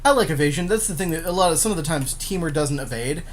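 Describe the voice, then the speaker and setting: A man's voice: a little high, lively intonation, quick pace. A man talking casually as a gaming podcast co-host, slangy and informal, with offhand swearing. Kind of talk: casual gaming commentary, chatting while drafting cards.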